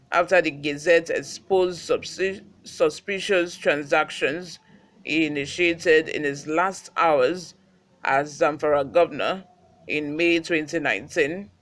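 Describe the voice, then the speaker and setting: A man's voice: a little high, a bit bright. A man with a Nigerian accent, hosting a news channel with read reports and informal commentary.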